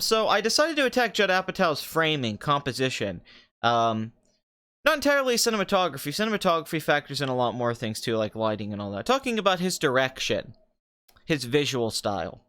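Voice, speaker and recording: A man hosting a live stream podcast in a free-form, rambling chat, joking crudely, with a slightly rough voice. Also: medium pitch, big pitch swings, fairly quick, bright, forceful tone.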